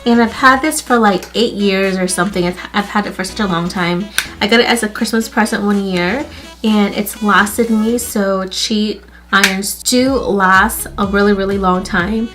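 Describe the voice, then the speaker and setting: A woman's voice: medium pitch, fairly even intonation, normal speed, over music. A woman with a stuffy nose chatting casually as she walks through a hair tutorial for her channel. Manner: chatty, friendly, repeats "literally" and "like". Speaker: beauty YouTuber.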